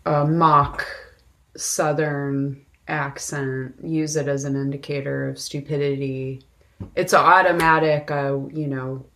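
Low-pitched, slow-talking woman with creaky voice. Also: steady tone, fairly bright tone. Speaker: podcast co-host discussing films. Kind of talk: casual conversational film discussion.

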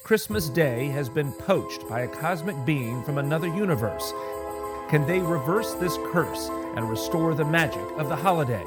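A man's voice: fairly high pitch, animated, moderate pace, lightly acted. A man playing a grave, grandiose announcer, reading a dramatic scripted recap for an audio drama. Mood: ominous, grandiose. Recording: loud jingling music behind.

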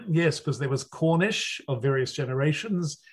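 A man's voice: on the high side, moderate pace, breathy edge. A man in his sixties, an audiobook narrator, telling rambling anecdotes in an interview with lots of restarts. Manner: rambling, restarts often, animated storytelling.

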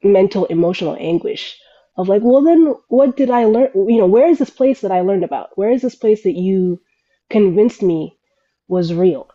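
Guest, young woman, mellow tone, thoughtful with many restarts, from Sudan and the US, lo-fi call sound. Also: medium pitch, expressive, moderate pace. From Sudan and United States. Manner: reflective, searching for words with many restarts. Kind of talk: casual interview talk.

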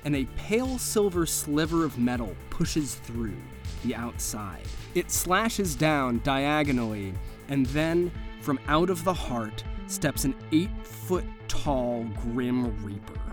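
Slow-paced man narrating a tabletop game. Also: medium pitch, lively intonation, music in the background. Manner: vivid, descriptive storytelling. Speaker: game master and player.

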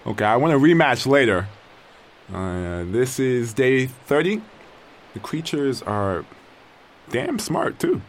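Proud stranded male survivor played by an actor, haughty and halting, highly animated delivery for audio fiction, noisy background. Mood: bossy. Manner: haughty, halting, talks to unheard companions. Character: proud stranded male castaway, bossy, grudging, talking to creatures. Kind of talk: dramatic audio fiction monologue, survival log.

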